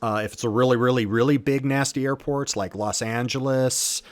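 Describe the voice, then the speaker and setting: A man's voice: mid-range voice, steady tone, normal speed, no room sound. A man teaching as an instructor in an informal, conversational way, explaining things step by step with technical jargon. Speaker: host and instructor of an aviation lesson.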